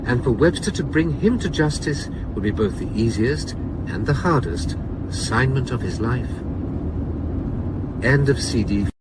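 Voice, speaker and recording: An actor playing an audiobook reader in a radio drama, narrating a detective novel in a grave, deliberate, dramatic style. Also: male voice, medium pitch, lively intonation, very noisy, natural voice. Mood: solemn, ominous.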